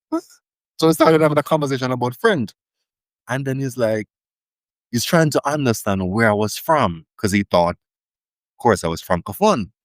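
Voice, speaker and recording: A man's voice: mid-range voice, no echo. A Jamaican man, a linguist guest, telling stories in a thoughtful, emphatic way in a casual interview. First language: Jamaican Creole.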